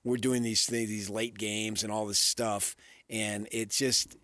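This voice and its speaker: Low-voiced American man chatting on sports radio. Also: level tone, measured pace, sharp tone, no echo. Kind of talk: casual sports talk, anecdotal.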